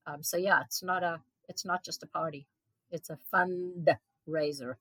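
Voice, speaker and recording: A woman's voice: lowish voice, fairly even intonation, a little slow, a bit bright, husky, no echo. A woman in her seventies from South Africa, a guest answering interview questions earnestly, with frequent ums and restarts. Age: 70s. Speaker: guest, event organizer.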